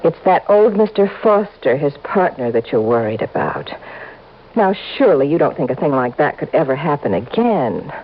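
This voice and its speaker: Flirty, swooping radio-drama wife. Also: female voice, low voice, big pitch swings, normal speed, heavily acted. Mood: coaxing. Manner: coy, breezy, coaxing. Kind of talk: 1950s-60s radio drama acting. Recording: dull old radio recording, faint noise.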